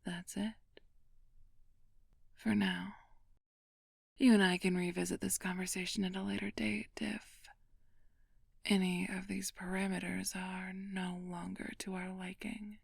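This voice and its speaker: A woman playing an ancient vampire thief in an ASMR roleplay, flirtatious and imperious, speaking in a breathy, teasing, commanding whisper. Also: mid-range voice, little pitch movement, no room sound, studio quality, full character voice. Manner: slow, teasing, commanding, haughty.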